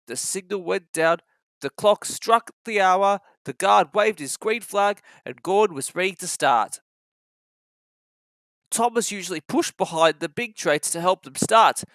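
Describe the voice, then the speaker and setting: Storybook narrator reading for kids, really animated pitch, dry close recording. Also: no room sound. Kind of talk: expressive children's read-aloud narration.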